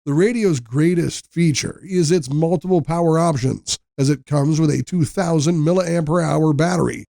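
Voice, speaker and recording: Dry-recorded male narrator, slightly high, mellow creaky voice. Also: no room sound. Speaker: product review narrator. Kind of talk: scripted product review voice-over.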